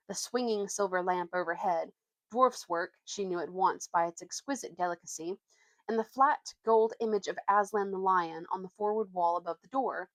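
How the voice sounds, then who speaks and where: A woman's voice: mid-range voice, no room sound. Young woman reading and chatting, dry sound. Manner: informal, youthful phrasing. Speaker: vlogger reading books aloud. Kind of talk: storybook narration and casual chat.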